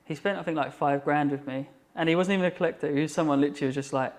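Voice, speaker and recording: A man, chatting casually as a guest, rambling with lots of fillers. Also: fairly high pitch. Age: 30s. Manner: rambling, filler-heavy, quick. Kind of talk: casual chat.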